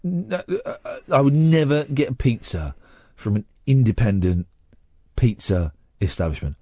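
A playful man hosting late-night radio, his voice lively and full of pitch swings. He sits close to a studio mic, so the sound is dry and close. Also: moderate pace, no room sound. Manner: playful, teasing. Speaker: radio host. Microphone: studio microphone.

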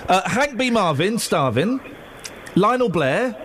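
A man's voice: high voice, very animated, takes it slowly, background noise. A man hosting a casual radio show with a London accent, teasing his co-host and reading listener texts. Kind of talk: casual breakfast radio chat. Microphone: studio microphone.

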